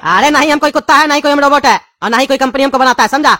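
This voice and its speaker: A man voicing an irritable shopkeeper father turned talking dog in a cartoon, scolding in a Bihari Hindi accent with a pitched-up voice. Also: very fast, sharp tone, slight background noise. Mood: irritated. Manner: scolding, blustering.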